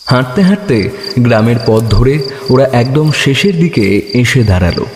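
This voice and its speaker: A man's voice: mid-range voice, lively intonation, normal speed. A man narrating a scripted horror story as a storyteller. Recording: echoey, reverberant, with clear background noise.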